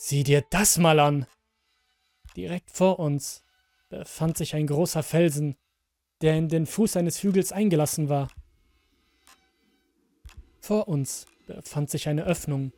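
A man's voice: on the high side, dark tone, no echo. A man narrating a scripted first-person horror story for a podcast.

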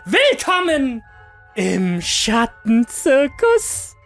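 Shrill young ringmaster for horror audio story: unhinged, theatrical, very high-pitched, big melodic swings. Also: male voice, wildly swooping pitch, drawn-out words, creaky, a bit bright, soft background music, full character voice. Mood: manic, grandiose. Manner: theatrical, grandly announcing.

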